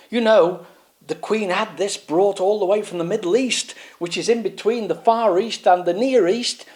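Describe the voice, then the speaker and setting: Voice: mid-range voice, darkish tone, pitched above the performer's own voice, close to an everyday voice. The storyteller voicing Sir Swaggeralot, a pompous, self-assured knight who explains everything with bluster in a raised voice. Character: pompous know-it-all knight, man.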